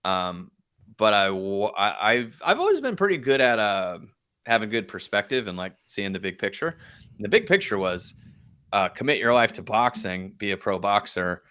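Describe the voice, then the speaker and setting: A man's voice: fairly low pitch, very animated, moderate pace, sharp tone, clean tone. A man, a podcast guest, telling stories casually in a self-deprecating, joking way. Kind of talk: casual conversational storytelling.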